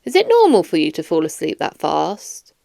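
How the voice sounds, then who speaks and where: A woman's voice: low-pitched, wildly swooping pitch, vocal fry, dark tone, dry recording, clean studio sound, voice pushed down, heavily acted. A woman voicing a firm, formal police detective questioning a suspect in a children's audiobook. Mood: probing. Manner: formal, measured, stern. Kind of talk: children's audiobook character dialogue.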